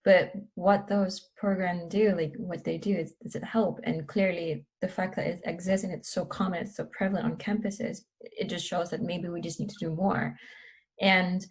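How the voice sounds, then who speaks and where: A woman speaks in a relaxed panel chat with a gravelly, husky voice that sits somewhat low. She talks thoughtfully, often pausing on fillers as she works through her points. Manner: thoughtful, pauses with many fillers. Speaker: researcher guest. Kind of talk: casual panel discussion.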